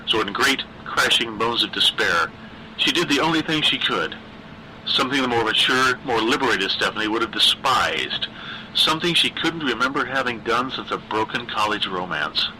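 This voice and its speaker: A man reading a dark horror story as a scripted narration, with a dramatic, deliberate literary delivery. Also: mid-range voice, lively intonation, a little hiss or hum, low-quality recording.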